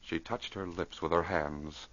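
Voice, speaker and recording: A man narrating an old-time radio adventure in a dramatic, measured storytelling style. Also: sits low, steady tone, fairly bright tone, dry recording. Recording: dull, noisy vintage radio recording.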